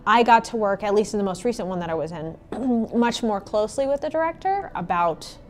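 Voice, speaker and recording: Female stage actor, medium pitch, reflective interview talk. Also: animated, fairly quick.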